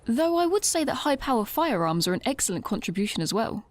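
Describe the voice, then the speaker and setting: A woman's voice: fairly high pitch, very animated, fast talker, no room sound. A woman voicing a formal, obedient female robot companion who calls the others Master. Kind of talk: fan audio drama acting.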